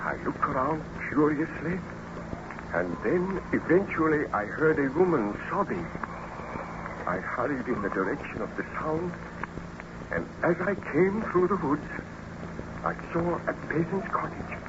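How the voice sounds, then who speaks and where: Casting: guilt-ridden baron for vintage radio drama, formal and theatrical, highish swooping voice, dull noisy recording. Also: male voice, expressive, measured pace. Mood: grim, haunted recounting. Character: anguished, guilt-ridden young baron who made a monster. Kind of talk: old-time radio drama acting.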